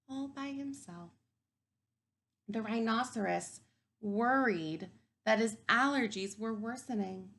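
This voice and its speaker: Woman, teacher, picture-book read-aloud, unhurried pace, noticeable vocal fry, questioning and thinking aloud for small children. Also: mid-range voice.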